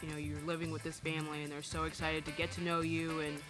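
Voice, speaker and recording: Even-toned young American woman. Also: low-pitched, fast. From Missouri, United States. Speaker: Peace Corps volunteer. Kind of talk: casual interview testimonial. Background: music.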